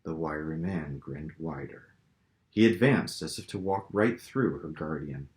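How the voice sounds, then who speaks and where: Low-voiced man reading fiction, roomy sound. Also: measured pace, darkish tone. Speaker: author reading own novel. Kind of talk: dramatic audiobook-style narration. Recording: roomy, echoing room sound.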